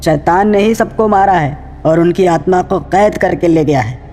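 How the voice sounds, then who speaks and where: A man's voice: sits high, a little slow, darkish tone, soft background music. A man playing a superstitious older villager in a cartoon story, speaking earnestly and deferentially. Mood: fearful, insistent. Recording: long reverberant hall echo.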